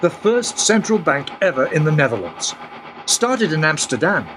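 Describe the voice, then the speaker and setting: Guy doing a dry knowing guide, lively pitch, hiss in the background. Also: moderate pace. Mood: explaining. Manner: wry, knowing, explanatory. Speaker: time-travelling guide.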